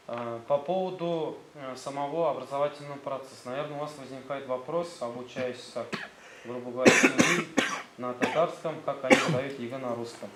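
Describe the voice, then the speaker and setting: A man's voice: mid-range voice, fairly bright tone. A man with a Tatar accent, a school director presenting his gymnasium to visiting officials, hesitant and full of fillers. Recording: roomy sound, faint background noise.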